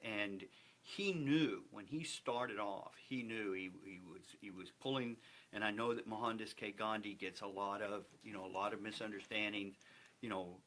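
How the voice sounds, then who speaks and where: A man's voice: lowish voice, moderate pace, a bit bright. A man speaking in an earnest, emphatic and persuasive way, as a stage performer promoting a show in a group interview. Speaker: stage play cast member promoting the show.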